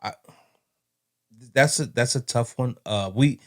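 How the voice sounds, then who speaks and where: A man's voice: medium pitch, unhurried. An American man co-hosting a casual podcast, laid-back and rambling, telling stories with many fillers. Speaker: podcast co-host. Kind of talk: casual chat.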